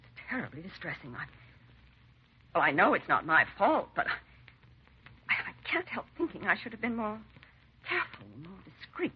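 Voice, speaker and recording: A woman's voice: medium pitch, sing-song, moderate pace, pauses often, husky. A woman acting a genteel, dignified and trusting charity hostess in a 1950s radio drama, with a proper, hesitant manner. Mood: upset, faltering. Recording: muffled, noisy 1950s radio recording.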